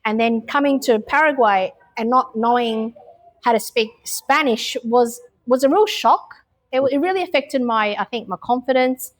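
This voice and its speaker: Australian woman, middle-aged, reflective interviewee, somewhat high voice, plenty of ums. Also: normal speed, poor audio. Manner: open and reflective, frequent ums and restarts. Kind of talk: casual interview answers.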